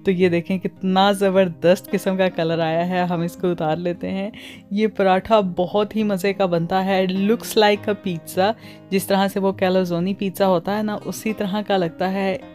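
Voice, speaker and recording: A woman's voice: mid-range voice, level tone, measured pace, darkish tone, soft background music. A woman with a Pakistani accent hosts a cooking tutorial in voice-over, mixing English words and phrases into her talk. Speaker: cooking channel host.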